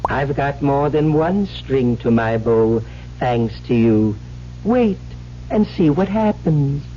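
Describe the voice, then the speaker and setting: An actor playing a cold, superior alien villain in a radio drama, speaking in a measured, formal, condescending way. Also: male voice, mid-range voice, animated. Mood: calm, menacing. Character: cold, superior male alien plotting humanity's destruction. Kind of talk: 1970s radio drama acting. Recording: dull, faintly hissy old radio recording.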